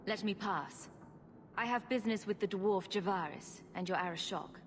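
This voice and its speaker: A woman's voice: medium pitch, moderate pace, a little hiss or hum, lightly acted. A woman playing a confident, practical adventurer in a video game, speaking in a wry, direct way. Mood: businesslike. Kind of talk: video game dialogue acting.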